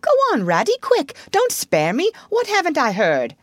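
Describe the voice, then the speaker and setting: A woman reading a children's storybook, voicing a conceited, excitable male toad with a pompous, old-fashioned gentlemanly manner. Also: medium pitch, measured pace, no echo, clean studio sound, voice pushed up. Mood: alarmed.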